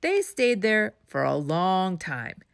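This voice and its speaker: A woman reading a children's story aloud as a storytime host, with expressive, dramatic emphasis. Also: lowish voice, slow, vocal fry, smooth. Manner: dramatic emphasis, asks listeners questions.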